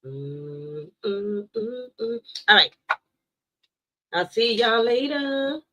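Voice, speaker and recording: A woman giving a casual tarot reading to her viewers, playful and blunt, cursing now and then and slowing down to recite affirmations. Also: fairly high pitch, very animated, gravelly, fairly bright tone. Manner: playful, blunt, swears, addresses viewers as y'all. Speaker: tarot reader.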